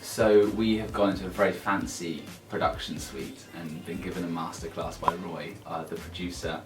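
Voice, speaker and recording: A man's voice: low voice, steady tone, moderate pace, distant mic. A man auditioning, talking casually in a giggly, self-deprecating way. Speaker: audition finalist. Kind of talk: casual interview. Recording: roomy, off-mic, faint music.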